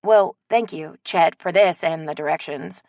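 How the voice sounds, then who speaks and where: A woman's voice: on the low side, a little slow, a little mellow, no room sound, muffled recording. A woman voicing a polite, subdued city woman.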